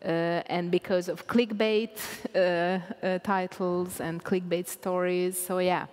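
A woman, in a lowish voice. She answers from a stage, stops and restarts as she hunts for words. The sound rings with the long reverb of a large hall. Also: level tone, takes it slowly, a little mellow. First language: Hungarian. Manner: searching for English words, many restarts. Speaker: panel guest, journalist. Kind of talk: panel discussion answer.

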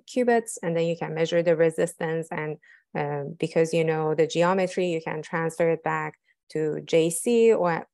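A young woman scientist walking through a cleanroom fabrication lab, explaining the tools with many fillers and pauses. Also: lowish voice, vocal fry, a little mellow, dry recording, studio quality. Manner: hesitant, many fillers, technical. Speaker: lab scientist giving a tour.

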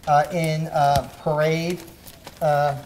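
A man hosting a kids' cooking show in a home kitchen, talking in a halting way with many "uh" fillers. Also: on the high side, flat delivery, a little mellow, a little hiss or hum. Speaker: host.

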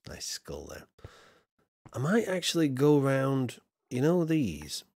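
Tired man streaming with lively pitch. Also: takes it slowly. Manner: jokey, mimics accents in short bursts, counts under his breath. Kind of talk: casual live-stream chat while building.

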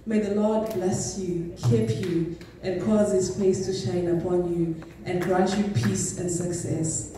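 Lady from zimbabwe praying over grads, slow and warm, far off in an echoey room. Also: lowish voice, steady tone, very noisy. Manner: solemn, prayerful. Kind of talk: blessing at a graduation.

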